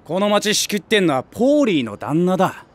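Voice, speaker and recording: An actor playing an ambitious, streetwise stray tomcat, a small-time gang big brother with brash, rough talk in a voice drama. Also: male voice, high-pitched, very animated, brisk. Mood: exasperated. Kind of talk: Japanese voice drama acting.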